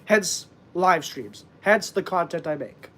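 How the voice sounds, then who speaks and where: High-voiced man, stuffed up. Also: expressive, takes it slowly, fairly bright tone. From Canada. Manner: earnest, rambling, many fillers. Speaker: wrestling channel host. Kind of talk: casual live-stream chat.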